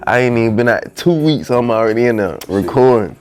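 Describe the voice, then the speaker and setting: A man's voice: medium pitch, lively intonation, brisk, a little mellow. A young man, a rapper telling his story as a podcast guest in loose, slangy talk. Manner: loose, slangy, peppered with "you know what I'm saying". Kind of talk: casual storytelling interview.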